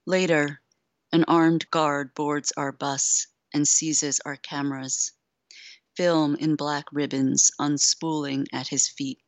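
A woman with a deep, low voice who speaks at an unhurried pace. She muses aloud with frequent pauses and fillers, then reads her poem in the same slow way. The sound is close and dry. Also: no echo. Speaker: poet, interview guest. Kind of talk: thoughtful interview talk and poetry reading.

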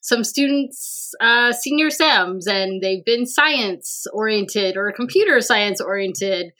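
A woman's voice: mid-range voice, animated, takes it slowly, bright, forceful tone. A woman speaking as a podcast guest in a casual conversation, hesitant and full of fillers and restarts. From Vietnam. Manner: hesitant, many fillers and restarts, laughs often. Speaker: podcast guest, university administrator.

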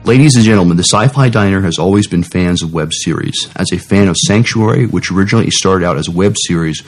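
A man's voice: low voice, fast, breathy edge, soft background music. A man co-hosting a podcast, presenting and chatting with an interview guest. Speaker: podcast co-host. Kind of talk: formal podcast introduction and chat.

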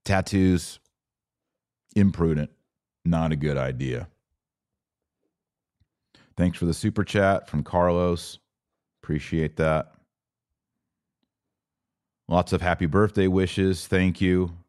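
Deep-voiced man in his forties, blunt. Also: steady tone, slow pace, long pauses, darkish tone, no room sound, studio quality. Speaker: podcast host. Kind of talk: casual livestream talk, reading and answering viewer comments.

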